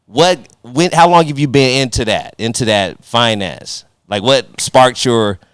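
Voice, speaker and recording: A man's voice: medium pitch, a little slow, fairly bright tone, smooth, dry recording, clean studio sound. A man hosting a podcast, chatting casually in slangy, conversational language and working his way toward each question. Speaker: podcast host. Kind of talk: casual interview with joking asides.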